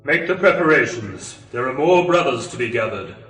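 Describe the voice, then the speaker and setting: A man's voice: medium pitch, animated, normal speed, a bit bright, natural voice. Guy acting a solemn grandiose cult leader, radio play, very echoey and far off. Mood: commanding. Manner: grandiose, formal. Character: solemn male cult leader, reverent about prophecy. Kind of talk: amateur sci-fi radio play. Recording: very echoey, distant, faint music.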